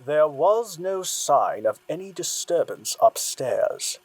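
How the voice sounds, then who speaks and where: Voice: mid-range voice, sing-song, slow, noisy background. An actor playing a cool, sceptical interrogator who probes a witness sardonically in an audio drama. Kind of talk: dramatic audio fiction acting.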